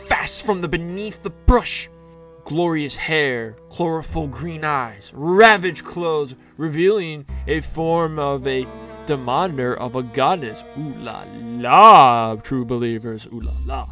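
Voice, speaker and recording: Male narrator, theatrical sixties-serial announcer, higher register, measured pace, music underneath. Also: fairly bright tone. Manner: dramatic, campy announcer delivery. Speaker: narrator of a fan audio drama. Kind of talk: theatrical scripted narration in 1960s serial style.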